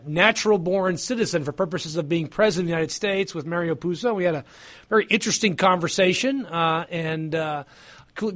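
A man hosting a talk radio show, speaking haltingly with many fillers and restarts in an easygoing way. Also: a little high, fairly bright tone.

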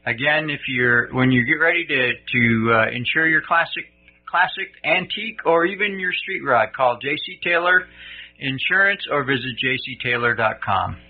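A man's voice: mid-range voice, lively intonation, normal speed. Man reading an ad, tinny sound. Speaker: radio show host. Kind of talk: read ad spot. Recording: tinny phone-like audio.

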